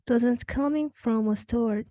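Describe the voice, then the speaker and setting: A woman reading a storybook in the part of the Grinch, a grouchy creature, in a measured, musing manner. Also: a little high, dark tone, dry recording. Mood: puzzled, musing. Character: gloating, then puzzled Grinch, storybook grouch.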